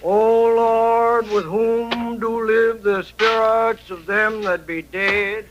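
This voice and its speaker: Slow, high-voiced solemn frontier preacher. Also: male voice, flat delivery, lo-fi sound. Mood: solemn praying. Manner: solemn, declamatory praying. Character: preacher at a frontier graveside burial. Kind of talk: 1950s radio drama acting. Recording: noisy old radio recording.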